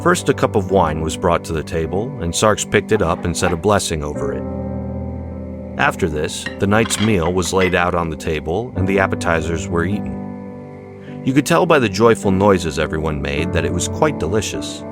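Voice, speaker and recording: A man's voice: sits low, animated, normal speed. A man reading a dramatic story as scripted audiobook narration. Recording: music behind the voice. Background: background music.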